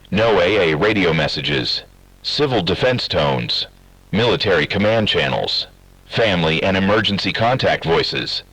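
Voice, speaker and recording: Man, low husky voice, lively pitch swings, formal official alert reading, dry close sound. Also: no room sound, poor audio. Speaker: emergency broadcast announcer. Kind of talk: scripted emergency alert reading.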